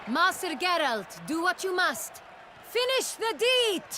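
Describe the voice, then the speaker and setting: An actress playing an effusive, imperious duchess in a video game, speaking grandly. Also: female voice, extremely high pitch, very animated, slow pace, full character voice. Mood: solemn, commanding. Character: regal duchess, adult woman, effusive and commanding. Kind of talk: video game dialogue acting. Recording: noisy crowd ambience behind.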